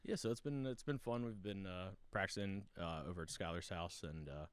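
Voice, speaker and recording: A man's voice: low voice, fairly quick, smooth, no echo. A young man as a podcast guest in easygoing casual chat, agreeable and full of "yeah"s.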